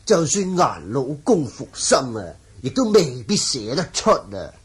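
Excitable, theatrical calligraphy-mad swordsman. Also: medium pitch, measured pace, husky, heavily acted. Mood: elated. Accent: Hong Kong Cantonese. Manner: theatrical, exclaiming, chuckling. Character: exuberant calligraphy-obsessed swordsman, proud, excitable older man. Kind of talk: 1980 radio drama acting. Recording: noisy old radio drama recording.

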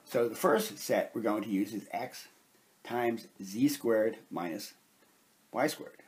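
A man with a slightly husky voice giving a methodical, step-by-step explanation as a science instructor at a whiteboard. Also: fairly low pitch, takes it slowly. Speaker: chemistry instructor.

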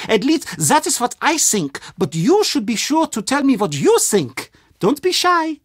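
A woman's voice: fairly high pitch, breathy edge, no echo, studio quality. An actress playing an earnest, proud German woman in a video game, with a German accent and an emphatic, precise delivery. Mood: eager. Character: German castle-dwelling woman, earnest, animated, proud of board games. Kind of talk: video game character dialogue.

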